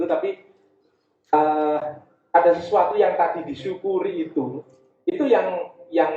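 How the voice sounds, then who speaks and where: A man's voice: on the high side, darkish tone. A man with an East Javanese Indonesian accent giving an informal talk as a guest speaker, telling personal anecdotes in a conversational way and repeating phrases. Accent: Javanese Indonesian, East Java. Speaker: guest speaker, community education founder. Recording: dull, echoey, distant from the mic.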